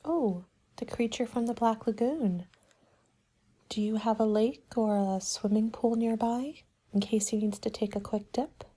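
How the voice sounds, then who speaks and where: A woman doing a soft-spoken ASMR roleplay as a helpful party planner, gentle and measured, often slipping into a whisper. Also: on the high side, breathy edge.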